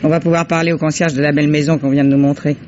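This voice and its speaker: An actress playing a shrewd, streetwise female detective in an old French radio drama, assured and colloquial, giving orders briskly. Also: low-pitched, flat delivery, darkish tone, close to an everyday voice. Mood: assured, businesslike. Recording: dull, hissy vintage radio recording.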